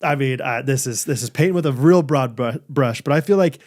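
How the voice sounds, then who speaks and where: A man's voice: mid-range voice, a little fast, a little mellow. A man co-hosting a podcast, joking and laughing often as he reads an ad. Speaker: podcast co-host. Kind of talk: casual chat with ad reads.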